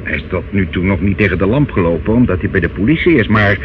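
An actor in a Dutch radio play, playing a self-satisfied, menacing older uncle who wheedles and talks down in a hesitant, smooth way. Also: male voice, low voice, animated, fast talker. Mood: insinuating. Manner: wheedling, hesitant, condescending, calls listener child. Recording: dull, noisy old radio recording.

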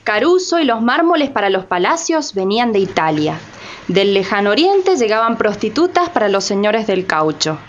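Woman narrator, mid-pitched, lively intonation, scripted radio storytelling, dull recording. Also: moderate pace. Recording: slightly muffled, faint background noise.

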